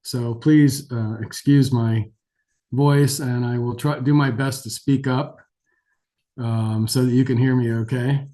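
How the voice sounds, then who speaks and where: A man with a scratchy voice from a cold delivers a webinar lecture. His tone is mellow and soft-edged, at a middling pitch. He talks conversationally and somewhat hesitantly, in a self-deprecating way, as a doctor addressing learners. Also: fairly even intonation, a little slow. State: has a cold. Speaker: geriatric physician giving a lecture.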